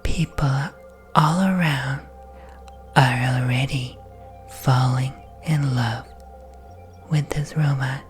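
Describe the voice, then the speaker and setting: Guy doing a whispery asmr robot ad narrator, slow soothing salesy, music underneath. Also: medium pitch, fairly even intonation, natural voice. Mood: soothing, promotional. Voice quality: breathy whisper. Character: smooth, upbeat promo video narrator selling robots.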